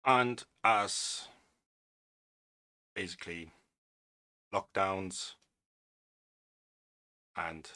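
Male podcast host, 40s, non-native English speaker, lowish voice, sharp delivery, opinionated commentary. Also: drawn-out words, long pauses. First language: not English. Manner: opinionated, wry, with frequent restarts and asides. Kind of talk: casual commentary reading a news article aloud.

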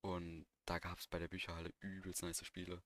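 A man's voice: low-pitched, monotone, moderate pace, fairly bright tone. A young man talking informally and with slang as co-host of a casual podcast. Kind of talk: casual chat.

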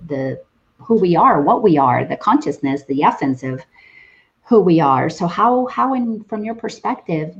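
Low-voiced woman from the Dominican Republic. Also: highs cut off. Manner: hesitant, repeats words as she gathers thoughts. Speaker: host. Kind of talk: interview conversation.

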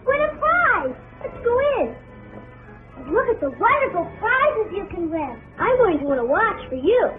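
A woman voicing a vintage children's toy commercial in an excitable, exclamatory way. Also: very high voice, normal speed, faint music behind. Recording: tinny band-limited old broadcast.

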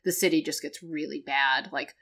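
A woman's voice: deep voice, moderate pace, a crowd in the background. Chatty woman with a New England accent. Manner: chatty, animated storytelling with many fillers. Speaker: podcast co-host. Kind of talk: casual chat.

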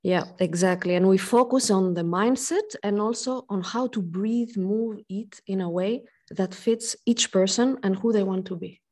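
A woman's voice: medium pitch, normal speed, hardly any pauses, dark tone. A woman from Greece, a life coach, introducing herself as a guest in a friendly way.

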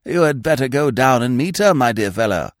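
A man's voice: medium pitch, expressive, fairly quick, no echo, studio quality, pitched above the performer's own voice, lightly acted. A man voicing a vague, flustered elderly earl who blurts startled exclamations. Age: older.

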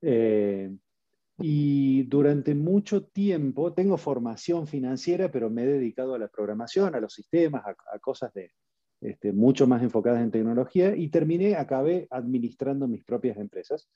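A man's voice: mid-range voice, animated, moderate pace, dark tone. A middle-aged man from Argentina with an Argentine Spanish accent, a podcast guest speaking in a wry, self-deprecating way. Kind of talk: casual interview chat. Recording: dry, muffled audio.

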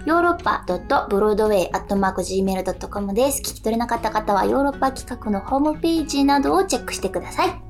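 A woman's voice: fairly high pitch, animated, racing, hardly any pauses, soft background music. A woman co-hosting a casual radio chat in a polite, modest manner. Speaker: radio co-host, actor.

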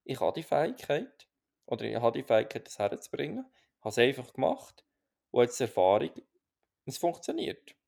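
Gravelly Swiss German man, calm. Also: medium pitch, brisk. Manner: calm, searching, many restarts. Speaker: podcast co-host. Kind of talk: casual reflective chat.

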